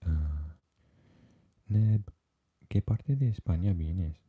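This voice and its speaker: A man with a Swansea Welsh accent, chatting softly with live viewers and often dropping into an unvoiced whisper. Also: slow, breathy edge, phone-quality sound. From Wales. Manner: mixes unvoiced whispering with low, deep speaking. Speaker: ASMR live streamer reading chat. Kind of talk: soft-spoken live chat with viewers.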